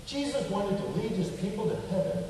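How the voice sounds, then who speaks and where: A man playing a kindly, patient male puppet in a children's church show, explaining things gently and earnestly. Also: high-pitched, expressive, a little fast. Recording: echoey hall from far off, faint noise.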